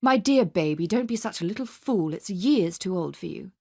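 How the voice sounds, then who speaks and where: A woman voicing a cold, irritable society mother in a radio story, with sharp, scornful rebukes. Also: fairly low pitch, animated, moderate pace, vocal fry, husky, full character voice. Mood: impatient, dismissive.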